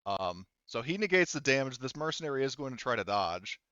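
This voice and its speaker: Smooth clear guy game mastering, chatting rules and narrating, kinda lo-fi mic. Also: mid-range voice, sing-song, brisk, sharp tone, no echo. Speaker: game master of a tabletop roleplaying game. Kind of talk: casual rules talk and narration during a tabletop game.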